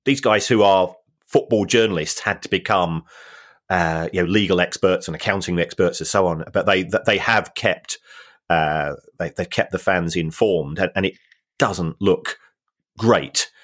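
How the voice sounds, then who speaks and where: A man co-hosting a football finance podcast, explaining things in a halting way with many restarts and dry humour. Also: low voice, a bit bright. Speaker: football finance expert co-host. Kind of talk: casual podcast discussion.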